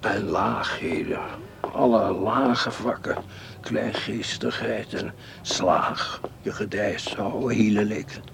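A man's voice: lowish voice, slow, gravelly, a bit bright, slight background noise, heavily acted. A man acting in a radio play as a scheming, cynical educated doctor who muses darkly to himself. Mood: brooding, poetic.

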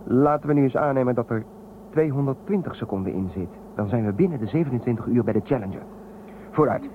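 A man playing a gruff, commanding space captain. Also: mid-range voice, lively intonation, quick pace, natural voice. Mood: reasoning. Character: stubborn man leading a space crew, gruff. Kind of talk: radio drama acting. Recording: muffled phone-like sound with loud hiss.

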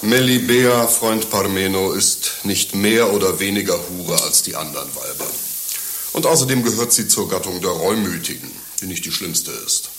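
A man's voice: low-pitched, expressive, normal speed, a bit bright. A male actor playing a cynical, dryly philosophical rogue in a satirical radio play, speaking in a dry, declamatory way. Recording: echoey radio play room, faint noise.

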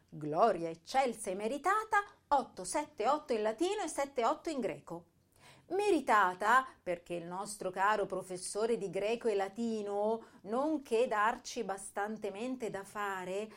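An ardent young schoolgirl poet, higher-pitched. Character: passionate, bright teenage schoolgirl poet reading her letters. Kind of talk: dramatic reading of letters and diary.

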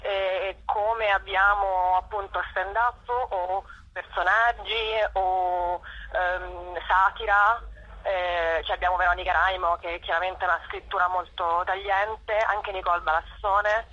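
A woman with a forceful, husky voice speaks spontaneously in a radio interview. She hesitates and hunts for the right word as she explains. The sound is dull, like a telephone call. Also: medium pitch, fairly even intonation, takes it slowly. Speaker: performer and show organiser, interview guest.

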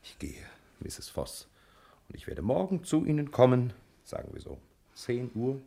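An actor playing a solicitous, excitable gentleman detective in a 1960s German radio play, stammering and fussily concerned. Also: medium pitch, measured pace, creaky, full character voice. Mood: hushed, soothing. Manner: stammering, fussy, theatrically concerned.

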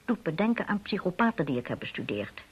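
An actress playing a shrewd, composed and gently teasing phonetics expert in an old radio play. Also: female voice, lowish voice, very animated, fast talker, husky, exaggerated voice. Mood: serious. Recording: dull, muffled old radio recording.

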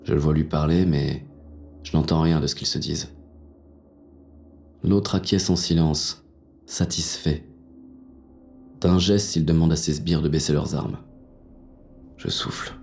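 An actor playing a hard-boiled, bitter police inspector who narrates a thriller in terse, intense first person. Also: male voice, extremely low pitch, fairly even intonation, unhurried, creaky, pauses often, darkish tone, faint music behind, full character voice. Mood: tense, wary. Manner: gritty, terse, intense delivery.

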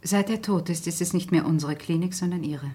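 Brief: a proud female physician, slightly low husky voice, formal, pointed, radio crime play. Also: fairly quick, warm tone. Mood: challenging. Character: proud, challenging female neurologist, jealous colleague.

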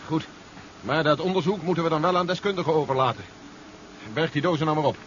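An actor playing a cautious, worried army general in a 1970s radio drama, speaking in a wary, clipped way. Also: male voice, a little high, quick pace, lightly acted. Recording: dull, noisy 1970s radio play recording.

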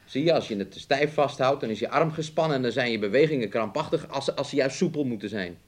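A man's voice: medium pitch, fast talker, natural voice. An actor in a Dutch radio drama playing a volatile, taunting working-class man who swings between scorn and coaxing. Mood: scornful, boastful.

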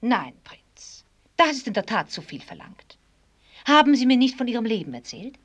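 An actress in a German radio play voicing a proud, ironic young heiress with a formal, teasing manner. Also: female voice, on the high side, a bit bright. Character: proud, mocking young millionaire's daughter who rides fast.

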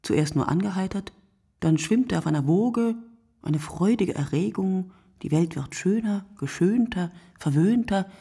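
Radio play narrator, husky and lowish, musing in deliberate poetic fragments, very dry sound. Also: female voice, lively intonation, mellow, no echo, heavily acted. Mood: incantatory, wry. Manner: deliberate, fragmented phrasing, dwelling on words. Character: reflective woman narrator musing poetically on night-time drinkers. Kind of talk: literary radio play monologue.

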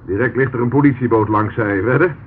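An actor playing a sardonic, dogged police inspector in a radio drama, mocking and needling with mock politeness. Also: male voice, medium pitch, fairly quick, close to an everyday voice. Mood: taunting. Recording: tinny, noisy old radio play recording.

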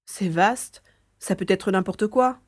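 A woman's voice: medium pitch, slow, natural voice. A woman playing an anxious young sleuth in an audio drama, narrating inner thoughts while sneaking around, full of exclamations and questions. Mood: wary. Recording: roomy long reverb, faint noise.

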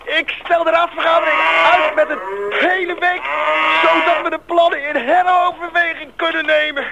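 A man's voice: very high-pitched, level tone, normal speed, gravelly, muffled recording. An actor playing a pompous, flustered city alderman who stammers and blusters in a radio play. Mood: cornered, stammering. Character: blustering, panicking city alderman cornered by cows. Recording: very noisy, mooing cattle behind.

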